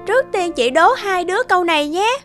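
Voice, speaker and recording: A woman's voice: extremely high pitch, expressive, a little fast, a bit bright. A woman voicing a caring, bossy young girl in a children's cartoon, talking like a little teacher to younger children. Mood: playfully instructive. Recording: faint music behind the voice.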